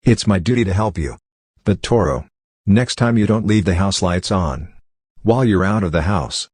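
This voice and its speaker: A man's voice: low-pitched, normal speed, a little mellow, long reverb tail, lightly acted. A man playing a kindly, heroic father lion in a children's toy story, speaking in simple phrases to young listeners. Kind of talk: children's bedtime story acted with toys.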